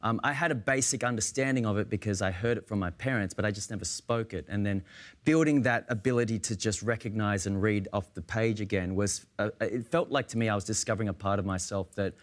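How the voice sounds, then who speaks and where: An Australian man, an actor on a roundtable, speaking in a searching, halting way with many restarts. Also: lowish voice. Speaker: actor guest. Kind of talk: roundtable conversation.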